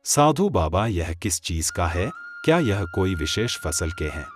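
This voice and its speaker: A male actor as a lazy, skeptical farmer in a cartoon fable. The voice is low and swings expressively, with light music behind it. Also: big pitch swings, measured pace. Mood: curious. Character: lazy, doubting village farmer, easygoing. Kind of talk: Hindi moral-story cartoon dialogue. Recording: music under the voice.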